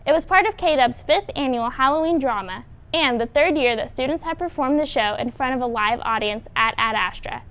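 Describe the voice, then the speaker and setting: A woman with a high voice anchors a student news show. She delivers scripted introductions and sign-offs. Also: animated, a little fast, hardly any pauses, fairly bright tone. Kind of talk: scripted newscast links.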